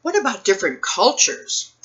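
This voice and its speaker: A woman reading a picture book aloud for children's storytime, phrasing things deliberately and putting questions to her young listeners. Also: medium pitch, big pitch swings, fairly bright tone, dull audio.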